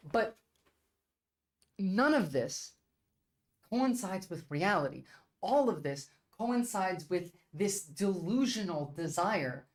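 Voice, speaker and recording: A Turkish-born woman, debating her chat on a casual livestream. She argues bluntly and emphatically, peppering her points with "like". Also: medium pitch. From Turkey. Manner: blunt, argumentative and emphatic, with frequent "like" fillers.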